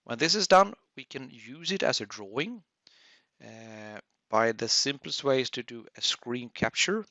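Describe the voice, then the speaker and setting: Male webinar instructor: very sharp, forward tone with gravelly fry, halting step-by-step explanations. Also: medium pitch, animated, no room sound, lo-fi sound. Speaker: audio engineering instructor. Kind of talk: webinar software tutorial.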